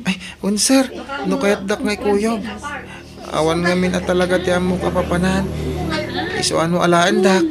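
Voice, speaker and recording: A man's voice: sits high, flat delivery, moderate pace, pauses often, crowd noise. A man playing a humble, lovestruck young runaway in a radio drama, polite and pleading with an Ilocano accent. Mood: humble, grateful. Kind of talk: Filipino radio drama acting. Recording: noisy radio drama recording.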